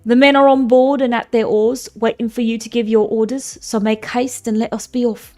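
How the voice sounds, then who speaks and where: A woman reading as a disguised goddess, brisk. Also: fairly high pitch, level tone, a little mellow, lightly acted. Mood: urging. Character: goddess disguised as an old male mentor.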